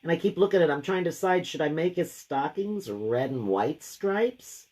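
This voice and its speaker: A woman hosting a live craft painting session. She has a deep, gravelly voice left over from a cold, and she chats and thinks aloud while she works. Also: animated, moderate pace, a little mellow. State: lingering gravelly voice after a cold. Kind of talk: casual live painting demo.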